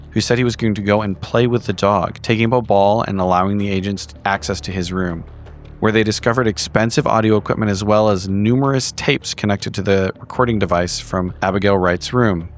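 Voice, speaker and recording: A man reading a scripted horror game recap in a formal, measured storytelling manner. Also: on the low side. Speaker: player giving the story recap.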